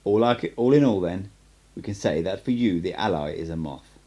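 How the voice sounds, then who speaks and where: A man's voice: deep voice, expressive, brisk, dark tone, lo-fi sound. A man voicing an assured, cryptic sorcerer mentor in an audiobook, with an emphatic, instructive manner. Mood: solemn, emphatic.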